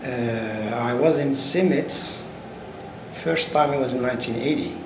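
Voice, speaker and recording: A man's voice: mid-range voice, unhurried. A man in his fifties from Morocco, answering interview questions about his career in a hesitant way, with many fillers and restarts. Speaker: agricultural researcher. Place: indoor room with curtain backdrop. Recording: roomy sound with air-conditioning hum.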